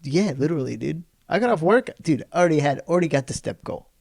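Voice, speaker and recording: A man's voice: fairly high pitch, animated, fast, gravelly, a little mellow. A young man co-hosting a podcast, laid-back and laughing, often saying dude. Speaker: podcast co-host. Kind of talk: casual chat.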